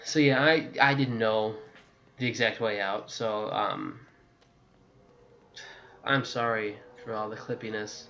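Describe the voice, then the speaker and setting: A man's voice: lowish voice, fairly even intonation, slow pace, long pauses, pushed and bright, highs cut off. A man giving a casual video game walkthrough, rambling and correcting himself, with jokey asides to the game. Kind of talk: casual gameplay walkthrough commentary.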